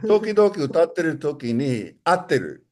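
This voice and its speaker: A man's voice: a little high, sing-song, normal speed, darkish tone. Talkative man in casual conversation.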